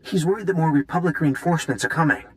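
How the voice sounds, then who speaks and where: A man's voice: a little high, fast talker, lightly acted. A man voicing a nervous, guilt-ridden weapons designer in a video game, hesitant and stammering as he apologises. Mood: nervous, earnest. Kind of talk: video game cutscene acting.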